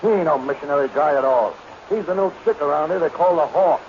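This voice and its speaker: Suspicious Bowery tough guy acted by a man, high-voiced, slangy American, 1930s radio comedy, dull vintage audio. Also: fast, natural voice. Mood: urgent, warning. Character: tough, suspicious Bowery saloon keeper and gangster. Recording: noisy, dull old radio recording.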